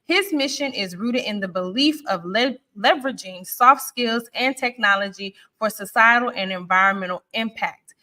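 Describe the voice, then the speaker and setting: Host, female, American accent, cheerful and talkative, crisp bright tone. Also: medium pitch, normal speed. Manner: upbeat, chatty, laughs often. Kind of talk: online interview intro and chat.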